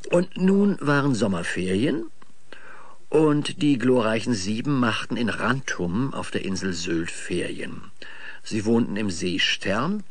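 A man's voice: lowish voice, fairly bright tone, no echo. A man giving scripted narration as the storyteller of a 1970s children's radio play.

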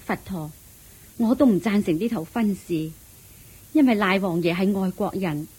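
A woman's voice: mid-range voice, normal speed, darkish tone, slightly rough, lightly acted, rough recording. A woman with a Hong Kong Cantonese accent playing a firm, disapproving well-off mother in a radio drama.

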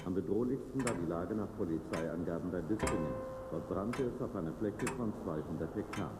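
A man's voice: deep voice, brisk, close to an everyday voice. A man reading a formal radio news bulletin. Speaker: newsreader. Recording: echoey, very noisy with ticking.

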